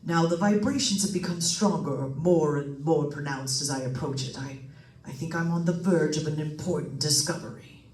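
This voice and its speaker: An actor playing a solemn psychic investigator, a doctor giving formal, precise reports in an old-time radio suspense drama. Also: male voice, fairly high pitch, moderate pace, darkish tone, close to an everyday voice. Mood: intent. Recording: echoey room, distant mic.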